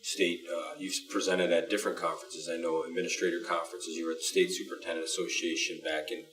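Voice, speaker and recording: A man's voice: low voice, little pitch movement, quick pace, fairly bright tone, far from the mic, low-quality recording. A man speaking as a school superintendent in an informal panel discussion, explaining emphatically in a rambling way. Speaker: school superintendent co-hosting a district show.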